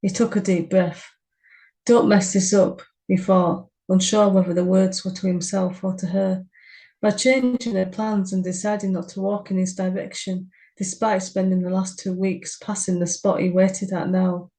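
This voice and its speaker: A woman co-hosting a story podcast, chatting and then reading the prologue of her own novel in a steady narrating voice. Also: medium pitch, normal speed. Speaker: podcast co-host, author. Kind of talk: casual chat and reading a book prologue.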